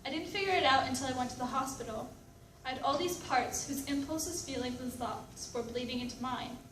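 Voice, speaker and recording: Troubled, introspective woman with many selves, actress on stage, high-pitched, distant mic in echoey room. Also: brisk, a bit bright, slight background noise. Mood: reflective. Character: troubled, reflective woman living with many personalities. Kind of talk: readers theatre monologue.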